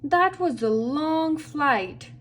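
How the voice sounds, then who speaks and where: A woman voicing an easygoing, groovy male cat musician from a children's storybook in a laid-back, upbeat, unhurried manner. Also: high voice, animated, soft background music, pitched above the performer's own voice, full character voice. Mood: tired, relaxed.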